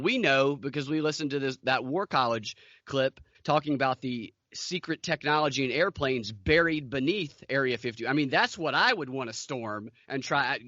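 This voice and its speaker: A man's voice: medium pitch, sharp tone, dry recording, muffled recording. A man co-hosting a podcast, talking casually through the news. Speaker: podcast co-host.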